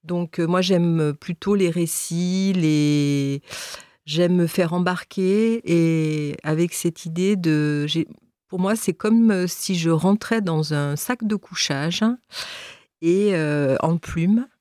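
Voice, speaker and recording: A woman's voice: fairly low pitch, takes it slowly, mellow, dry recording, studio quality. A woman guest on a radio chat show, talking earnestly about reading and searching for her words. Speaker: radio guest, book club reader. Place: radio studio.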